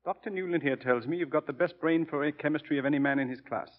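An actor playing a kindly, wise priest and college mentor in a 1940s radio drama. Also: male voice, on the high side, fast talker, lightly acted. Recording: tinny, narrow 1940 radio recording.

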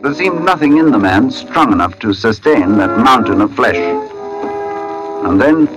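An actor playing a brooding, haunted middle-aged artist in an old-time radio suspense drama, narrating in formal, deliberate phrases with dramatic pauses. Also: male voice, mid-range voice, lively intonation, lo-fi sound, music in the background, lightly acted. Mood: uneasy, troubled. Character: brooding forty-year-old male artist, haunted, precise, foreboding. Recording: vintage radio broadcast recording.

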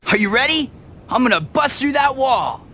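Very high-pitched brash teenage boy. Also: normal speed, slight background noise, full character voice. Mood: excited, urgent. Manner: excitable, strained, melodramatic. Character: brash, impulsive teenage boy, horror game sidekick. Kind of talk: video game cutscene acting.

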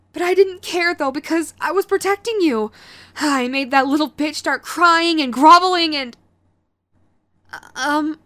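Woman acting a high-voiced, clingy sweet yandere girlfriend. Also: fairly bright tone. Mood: heated, protective. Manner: cutesy, hesitant, gushing pet names. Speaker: voice actress. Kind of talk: intimate audio roleplay acting.

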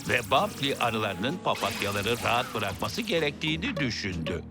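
A man's voice: mid-range voice, very animated, moderate pace, over music. A man playing an earnest, well-meaning but exasperated king in a dubbed children's cartoon.

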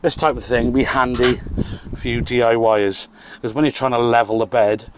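A man's voice: mid-range voice, little pitch movement, moderate pace, slight background noise. A Welsh man in his fifties, a landscaper explaining his patio work in a chatty, self-mocking way, recorded outdoors on a handheld phone. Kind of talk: casual how-to vlog. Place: outdoor garden beside a house. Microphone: handheld phone, later a plugged-in mic.

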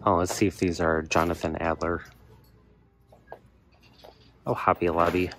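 A man's voice: low-pitched, little pitch movement, unhurried, fairly bright tone, slight background noise. A man giving casual, off-the-cuff commentary while browsing in a store, recorded on a handheld phone. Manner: off-the-cuff, thinking aloud. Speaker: thrifting vlogger and reseller. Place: inside a large thrift store. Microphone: handheld phone camera close by. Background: busy store ambience.

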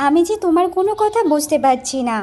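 A woman's voice: very high voice, lively intonation, fast talker, a little mellow. A woman voicing an envious, greedy village wife in a fairy-tale cartoon, with a complaining, questioning manner. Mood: curious. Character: envious, greedy younger village wife, curt and self-serving.

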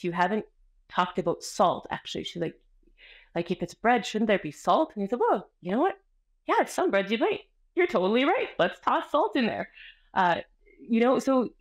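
Expressive woman author, slightly low voice. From Canada. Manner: expressive, storytelling, gestures a lot. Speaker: author guest. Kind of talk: podcast interview conversation. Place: small home room with framed art. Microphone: video call webcam.

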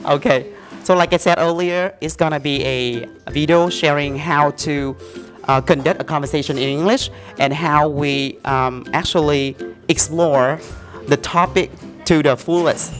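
A man's voice: a little high, steady tone, unhurried, faint music behind. Young Vietnamese man presenting. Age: young adult. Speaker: host, English learning presenter. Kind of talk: casual interview and presenting. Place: indoor café-like open room. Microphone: handheld lavalier mic.

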